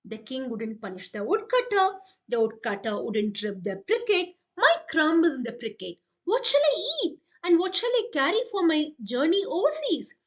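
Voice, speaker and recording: Little storybook sparrow, played by a woman: plaintive, insistent, chant-like, very animated pitch, lo-fi recording. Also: moderate pace, few pauses. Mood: pleading, insistent. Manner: chanting, repetitive pleading. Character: small pleading female sparrow, persistent and plaintive. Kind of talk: expressive children's folk tale storytelling.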